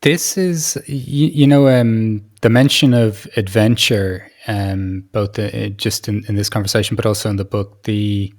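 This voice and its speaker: Low-voiced man, halting and searching for words. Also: steady tone. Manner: halting, searching for words, rephrasing mid-sentence. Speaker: podcast host. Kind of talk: reflective conversational interviewing.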